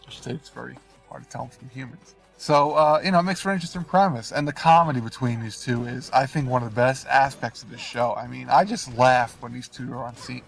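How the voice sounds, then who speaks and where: A congested, nasal man talks off the cuff from behind the wheel of his car, wandering from point to point and apologizing for his slips. His voice has a gravelly, rough edge. Faint music plays underneath. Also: mid-range voice. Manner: rambling, apologetic, unprepared. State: congested from a cold. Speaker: gaming video host. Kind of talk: casual rambling commentary over gameplay. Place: in a car while driving.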